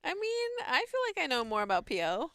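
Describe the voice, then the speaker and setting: Smooth-voiced woman, casual livestream co-host. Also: high voice, wildly swooping pitch, fairly quick, fairly bright tone, no echo. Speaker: livestream co-host reading chat.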